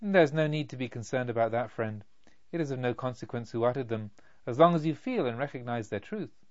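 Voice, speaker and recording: A man acts out a wise spiritual master from a storybook. He is calm and detached, offering measured sayings in a serene manner. His pitch sits in the middle, and the sound is a bit dull. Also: dry recording, lightly acted.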